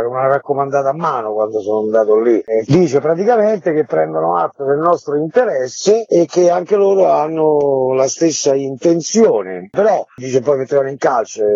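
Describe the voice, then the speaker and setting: A man's voice: mid-range voice, mellow, slightly rough, no echo, lo-fi sound. A man guesting on a radio talk show, explaining at length and with growing indignation how his theatre group is being pushed out. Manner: earnest, indignant, rambling explanations. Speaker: guest, head of a theatre association. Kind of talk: radio interview, explaining a dispute.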